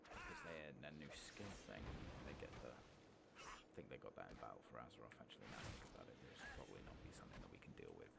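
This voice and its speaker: A man with an extremely low voice, full of heavy creak, softly talks through a game in ASMR style. He thinks aloud casually, sometimes slipping into whispers, and his pitch moves around a lot. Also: sing-song, quick pace, heavy background noise. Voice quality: soft-spoken, often breathy whispering. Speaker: gaming channel host. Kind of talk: soft-spoken ASMR game playthrough commentary.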